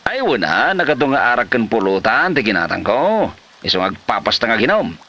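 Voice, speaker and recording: A man acting as a lazy, sly Ilocano husband in a comedy drama. His voice is bright and swings widely in pitch as he whines out exaggerated excuses with an Ilocano accent. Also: big pitch swings, a little fast, full character voice. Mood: boastful.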